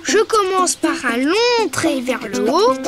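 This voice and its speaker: Casting: small, cheerful preschool cartoon guide, extremely high lively voice, simple instructive delivery, music behind. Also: female voice, slow, heavily acted. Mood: cheerful teaching. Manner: bright-spirited, simple, instructive. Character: cheerful, helpful little cartoon friend who draws. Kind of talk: preschool cartoon acting, drawing tutorial.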